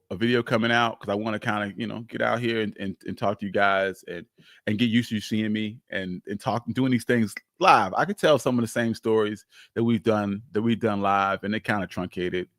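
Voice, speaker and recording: A man hosting a casual, unscripted live history stream, speaking candidly in a halting, rambling way. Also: on the low side, fairly even intonation, a bit bright, no room sound, studio quality. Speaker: livestream host, history storyteller.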